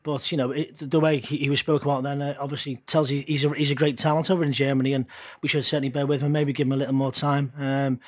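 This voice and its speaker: A man talks quickly through his view in a relaxed football podcast, chatty and a little rambling. He doubles up words as he goes, and his voice sits at a middling pitch. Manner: chatty, loose, repeats words. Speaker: podcast pundit. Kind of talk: casual football chat.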